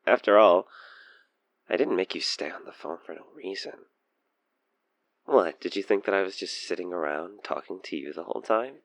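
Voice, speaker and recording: A man acting an obsessed, possessive stalker killer in an audio roleplay, teasing, coaxing and menacing, with breathy, intimate delivery. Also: on the low side, no echo, full character voice. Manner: theatrical, teasing, intimate, dropping into breathy near-whispers. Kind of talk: audio roleplay monologue spoken to the listener over a phone call.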